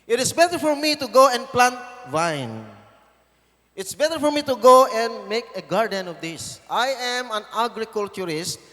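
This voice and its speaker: A man's voice: extremely high pitch, fairly bright tone, big-room sound, exaggerated voice. A man voicing the aged Noah, who humbly pleads with God in an earnest tone.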